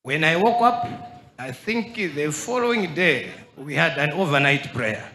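A middle-aged man from Zambia, speaking into a handheld microphone and slowly and earnestly telling his story in a church. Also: fairly high pitch, animated, pushed and bright, echoey room. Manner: earnest, slow testimony. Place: large church hall with crowd. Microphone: handheld mic.

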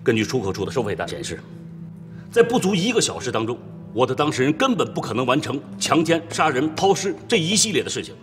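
An actor playing a dogged, indignant middle-aged defence lawyer in a naturalistic film drama. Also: male voice, medium pitch, very animated, quick pace, long reverb tail, soft background music, lightly acted. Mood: indignant, pressing.

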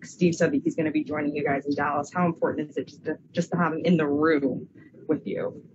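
A man's voice: fairly high pitch, steady tone, fast talker. Rambling male reporter asking questions. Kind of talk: press conference questions. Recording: dull sound with room noise.

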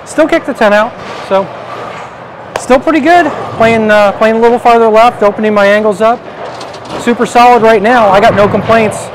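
Middle-aged man, higher voice, noisy bowling alley. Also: moderate pace. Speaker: bowling ball reviewer. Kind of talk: casual product review commentary while demonstrating. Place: large indoor bowling alley.

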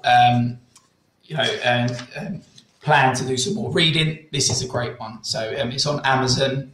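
A man speaks as a guest on a webinar, relaxed and dotted with ums. His voice comes over a video call from a noticeably echoey room. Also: mid-range voice. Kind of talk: casual webinar talk.

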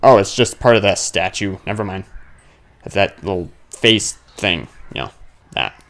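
A man's voice: low-pitched, expressive, normal speed, fairly bright tone. A man giving casual, thinking-aloud commentary on a horror game, grumbling and muttering with sudden exclamations. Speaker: let's play gamer.

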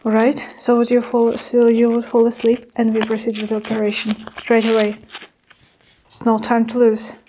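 A young woman playing a sinister nurse-doctor in an ASMR roleplay, speaking softly and reassuringly in measured phrases, often whispering. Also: on the high side, monotone, a little hiss or hum, close to an everyday voice, rough recording. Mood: grave, reassuring. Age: young adult. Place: small indoor room with curtain backdrop.

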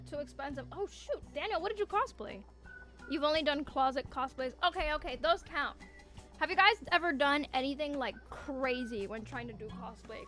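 A woman's voice: high-pitched, fairly bright tone. A woman livestreaming games, chatting casually and teasingly with viewers, with playful theatrical emphasis. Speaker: VTuber game streamer. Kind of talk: casual livestream chat, reacting to viewers' messages.

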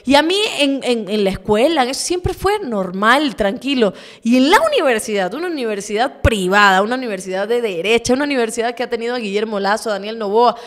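A woman's voice: a little high, lively intonation, quick pace, hardly any pauses. A woman in her thirties with an Ecuadorian accent, hosting a political talk show and venting with indignation. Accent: Ecuadorian Spanish. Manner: indignant, emphatic, swears. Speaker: show host. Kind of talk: heated political commentary. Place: home studio, indoors. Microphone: desk mic on stand, video call.

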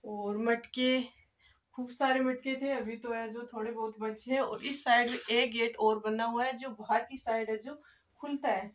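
A woman's voice: a little high, steady tone, normal speed, a little hiss or hum. A young woman filming herself on a phone at arm's length, chatting casually to viewers as she walks through an old empty house. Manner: chatty, leans on filler phrases, talks to viewers as friends. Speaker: vlogger giving a house tour. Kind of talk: casual walking house tour. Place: old empty brick house, indoors and outdoors. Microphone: phone held at arm's length.

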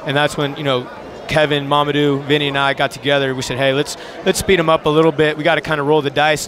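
A man's voice: a little high, a bit bright. A young man, a basketball coach, talking informally and earnestly in a post-game interview on a headset mic. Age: young adult. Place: courtside in an indoor arena. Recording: crowd noise in busy arena.

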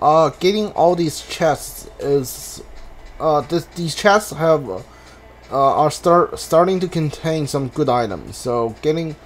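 A man giving casual video game commentary, thinking aloud haltingly and repeating his words as he plays. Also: a little high. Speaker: gamer, playthrough host. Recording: game music behind the voice. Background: video game music.

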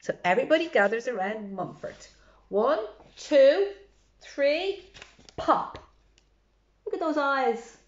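A woman reading aloud to children in an expressive way, adding chatty asides as a storytime librarian. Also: high-pitched, takes it slowly, pauses often, lo-fi sound. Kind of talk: animated children's read-aloud.

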